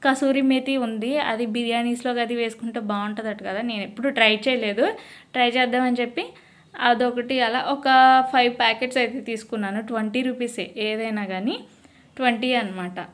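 A woman's voice: a little high, steady tone, fairly quick. A woman with a Telugu accent, chatting casually to viewers as a shopping vlogger, mixing in English words. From India. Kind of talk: casual shopping vlog voice-over.

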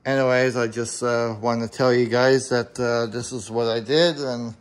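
A man explaining a do-it-yourself repair in a casual, unscripted, halting and plain-spoken way, recorded in a home garage on a handheld camera. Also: mid-range voice, fairly even intonation. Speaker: amateur DIY car repair video maker.

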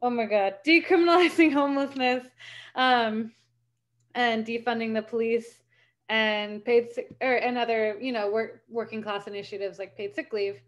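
Young woman, higher pitch, rambling casual report over webcam. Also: steady tone, moderate pace. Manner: rambling, many fillers and restarts. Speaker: campaign volunteer reporting back. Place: home room. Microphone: laptop webcam video call.

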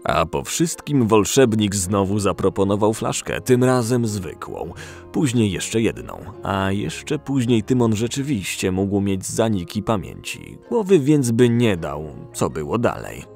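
Man reading a story as narrator, bit deep, soft music behind. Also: sing-song, measured pace, gravelly. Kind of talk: scripted storytelling narration for an audio drama.

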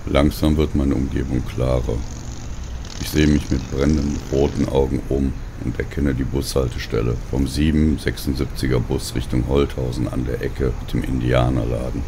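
A man narrating a scripted first-person audio story in a slow, weary, brooding way. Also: a bass voice, little pitch movement, gravelly, noisy background. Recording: music behind the voice. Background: music.

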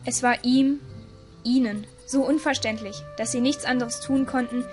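A woman's voice: high-pitched, fairly even intonation, normal speed, a little mellow, faint music behind. A woman reading scripted story narration for an audio drama.

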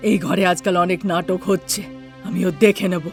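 A woman's voice: fairly low pitch, steady tone, brisk, vocal fry, soft background music, voice pushed down. A woman voicing a haughty, bossy, rich older mother-in-law in a cartoon story, scolding imperiously. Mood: demanding. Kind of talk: Bengali cartoon story acting.

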